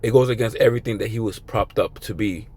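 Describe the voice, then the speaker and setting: A man with a raspy, hoarse voice giving an informal, rambling video sermon as a religious teacher. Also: on the low side, quick pace, darkish tone. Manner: rambling, filler-heavy, conversational.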